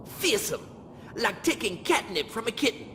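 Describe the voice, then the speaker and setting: A vain, selfish cat-man for a comedy audiobook. The voice is high and animated, sly and exclamatory, with long reverb and faint noise. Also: normal speed, heavily acted. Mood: sly, scornful. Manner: exclamatory, self-satisfied.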